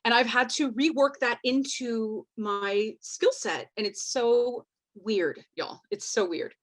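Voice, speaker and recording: A woman with a slight Mexican American accent, a panelist on a video call, talking candidly and haltingly, with many restarts. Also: a little high. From Mexican heritage, US. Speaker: actress, panelist. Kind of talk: candid roundtable discussion.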